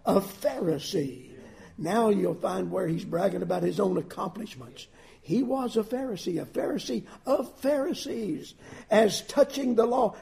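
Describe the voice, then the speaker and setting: A man preaching a sermon with folksy asides, swinging between loud emphatic lines and soft drawn-out phrases. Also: high voice, vocal fry.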